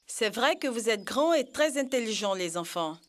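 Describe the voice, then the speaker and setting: A woman playing an affectionate, shrewd grandmother who gently scolds, in an educational cartoon dub. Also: a little high, animated, normal speed, lightly acted. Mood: gently scolding. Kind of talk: dubbed educational cartoon acting.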